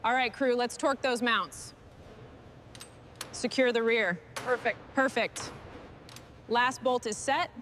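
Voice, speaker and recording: A woman's voice: on the high side, takes it slowly, pauses often, a bit bright, slight background noise. A woman from a restoration crew talking in short, terse workshop remarks.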